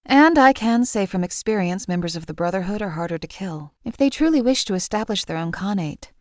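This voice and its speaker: A woman's voice: mid-range voice, expressive, fairly quick, dry recording, close to an everyday voice. A woman voicing a cold, detached female assassin companion in a video game, talking in a dry, coolly contemptuous way.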